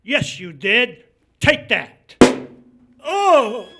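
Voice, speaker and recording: A man playing a shouting gangster in a live radio play about a crook fighting the police. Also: very high-pitched, very animated, extremely slow, over loud music, exaggerated voice. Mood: snarling. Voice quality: shouting, menacing. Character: snarling male radio gangster shooting at police.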